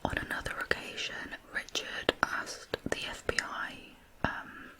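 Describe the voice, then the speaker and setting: A woman narrates true crime in ASMR style, in a soft, breathy, unhurried whisper.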